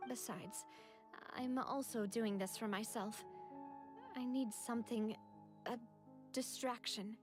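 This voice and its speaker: A young lady who is mourning yet determined. Her voice is fairly high, polite and earnest. It is video game dialogue with music clearly underneath. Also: steady tone, slow pace, pauses often. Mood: sad, resolute. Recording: music behind the voice.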